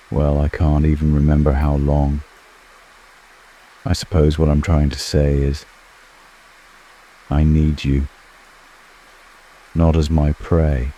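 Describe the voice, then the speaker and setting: Guy doing an ancient vampire for asmr, super low dark voice, slow n intimate, formal, seductive, kinda lonely. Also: heavily acted, rough recording. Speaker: ASMR roleplay performer. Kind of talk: scripted ASMR roleplay.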